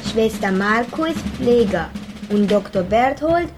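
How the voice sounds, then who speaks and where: High-voiced little boy with. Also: expressive, background noise. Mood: eager. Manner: simple halting learner phrases. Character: small Afghan boy learning German. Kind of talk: radio play acting.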